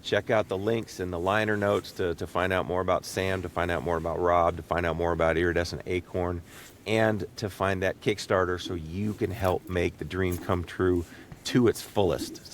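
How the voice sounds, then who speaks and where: A man's voice: fairly even intonation, moderate pace. A man hosting a casual interview podcast in an encouraging, appreciative manner. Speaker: podcast host. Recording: clear low rumbling background noise.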